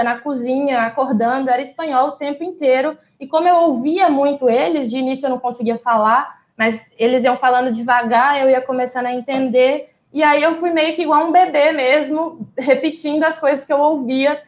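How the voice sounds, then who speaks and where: A young Brazilian woman, a doctoral student, answering interview questions in a relaxed, explanatory way, with frequent small fillers and restarts. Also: high-pitched. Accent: Brazilian Portuguese. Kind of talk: casual interview storytelling.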